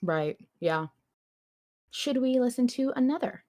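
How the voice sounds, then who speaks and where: A woman's voice: a little high, lively intonation, a little slow, warm tone. A woman podcast host chatting casually and reading out listener messages.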